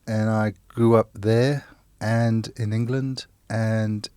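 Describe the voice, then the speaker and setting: A man's voice: on the low side, flat delivery, takes it slowly, darkish tone, clean tone, dry recording, studio quality. A man in his sixties from Kenya and Zimbabwe, a teacher and handyman, talking in a casual family interview with self-deprecating, chuckling humour.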